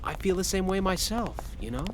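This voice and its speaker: A man's voice: high voice, animated, a little fast. A man playing an eager, slightly flustered young subordinate in a video game, earnest and hesitant. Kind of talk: English game dub acting. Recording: clear background noise.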